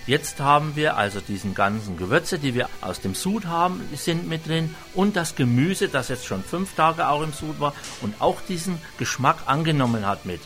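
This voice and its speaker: A man's voice: a little high, lively intonation, normal speed, hardly any pauses, fairly bright tone. Franconian cook guy, homely rambly talk, lots of ums, faint music behind. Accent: Franconian German. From Franconia, Germany. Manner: homely, rambling, many hesitations. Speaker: restaurant chef. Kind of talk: cooking demonstration chat.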